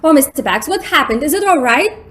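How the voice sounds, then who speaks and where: A woman's voice: high-pitched, expressive, fairly quick, fairly bright tone. A woman playing an impressionable, anxious young lady in a radio drama, with a plaintive, dramatic way of speaking. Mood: anxious questioning. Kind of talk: stage-like radio drama acting.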